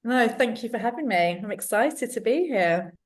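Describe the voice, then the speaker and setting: A woman from London with a strong London accent, a cookery teacher chatting as a podcast guest, enthusiastic and quick to laugh, with many restarts. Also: fairly high pitch, animated, distant mic. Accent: London English. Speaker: guest, cookery teacher and self-love coach. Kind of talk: casual podcast interview.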